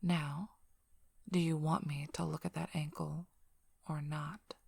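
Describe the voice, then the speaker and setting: A woman playing a curt, sardonic, guarded female werewolf pack leader in an ASMR role-play, speaking bluntly and drily in a breathy, often whispered voice. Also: deep voice, level tone, darkish tone, no room sound, heavily acted. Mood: matter-of-fact. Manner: blunt, dry, impatient, unhurried.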